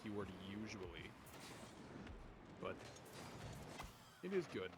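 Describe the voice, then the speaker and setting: A young man with a deep voice streaming esports commentary from home, chatting with viewers and calling plays. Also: sing-song, takes it slowly, very noisy. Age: young adult. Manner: rambling, chatty, restarts often, bursts louder on goals. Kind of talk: casual solo game commentary and chat reading. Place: home room.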